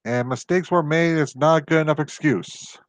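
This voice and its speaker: A man's voice: a little high, moderate pace, no room sound. Rambling man venting in a dry room. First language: not Japanese. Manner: rambling, exasperated, goes on tangents. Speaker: video host. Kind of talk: casual solo commentary.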